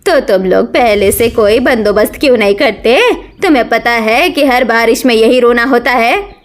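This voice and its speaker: This is a woman acting an older village mother-in-law in a cartoon. She is blunt and grumbling, quick to scold her family. Her voice is high-pitched. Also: close to an everyday voice.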